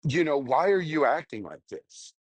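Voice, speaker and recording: Young man, slightly high voice, casual rambling monologue, halting, self-reflective, candid, dry recording. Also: sing-song, breathy edge, no room sound. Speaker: stand-up comedian.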